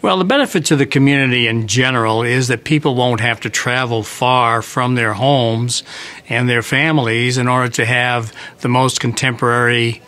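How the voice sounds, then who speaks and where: Man, medium pitch, surgeon, precise formal wording, clinical explanation, newsreel interview. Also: a bit bright. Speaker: heart surgeon.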